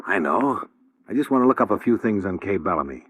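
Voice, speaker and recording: Hard-boiled male investigator, American, low-pitched, wry and persistent, clipped 1950s radio detective delivery. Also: sing-song, fairly quick, slightly rough, no room sound, narrow, tinny audio, exaggerated voice. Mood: coaxing, persistent.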